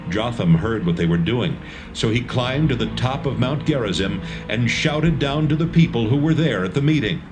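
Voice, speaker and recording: A man narrating a dramatised Bible reading in a steady, measured storytelling manner. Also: mid-range voice, low-quality recording. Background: music score.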